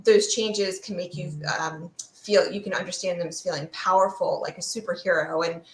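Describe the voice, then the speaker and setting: Echoey, lower-pitched woman explaining thoughtfully. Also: fairly even intonation, normal speed, a little hiss or hum. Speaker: sociologist, expert guest. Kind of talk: conversational science explanation in an interview. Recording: roomy, echoey room sound.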